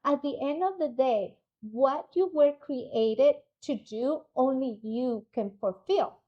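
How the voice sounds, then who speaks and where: A woman's voice: high voice, slow, highs cut off. A woman hosting an interview podcast, upbeat and chatty, who drops in Spanish words. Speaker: podcast host. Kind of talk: casual interview chat.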